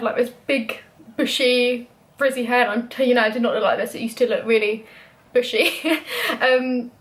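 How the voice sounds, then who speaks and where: A young British woman vlogging, talking casually and openly with many "like" fillers. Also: a little high. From Britain. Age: young adult. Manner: chatty, lots of "like" fillers. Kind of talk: casual chat.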